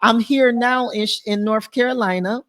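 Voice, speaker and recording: A woman's voice: on the high side, moderate pace, crowd noise. A woman with an American accent hosting a casual interview, chatty and informal with a joking touch. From Flint, Michigan, USA. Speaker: show host, interviewer.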